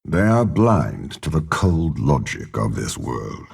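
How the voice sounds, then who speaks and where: An aging, imperious game villain with an extremely deep, husky voice. He is a cold schemer who speaks slowly and deliberately, with haughty menace and wide, dramatic swings in pitch. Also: big pitch swings, a little mellow, full character voice. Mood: calm, scheming. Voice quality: gravelly, husky. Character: cold, scheming older male warlord and spymaster, imperious villain. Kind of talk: video game character voice lines.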